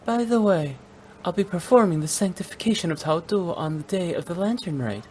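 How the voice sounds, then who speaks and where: A woman's voice: fairly low pitch, lively intonation, a little fast, a little mellow, slight background noise, lightly acted. A woman playing a graceful, polite young opera performer in a video game, earnest and poised. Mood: gracious, inviting. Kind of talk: game voice acting. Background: background music.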